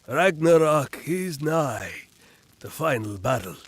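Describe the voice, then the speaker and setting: An actor playing a weathered, foreboding old hermit in a video game cutscene, with a raspy voice. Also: male voice, on the high side, big pitch swings, slow pace, no room sound, heavily acted. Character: weathered old man, cryptic doomsaying northern hermit.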